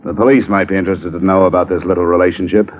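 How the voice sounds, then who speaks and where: An American man playing a wry, world-weary insurance investigator in a 1950s radio detective drama, with a sardonic, clipped, deadpan delivery. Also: low voice, rapid-fire, slight background noise, close to an everyday voice. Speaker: lead actor and narrator. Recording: muffled vintage radio recording with music.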